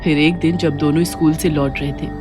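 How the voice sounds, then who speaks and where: A woman's voice: deep voice, flat delivery, fairly quick, over music. A woman narrating a scripted moral story for children as a storyteller.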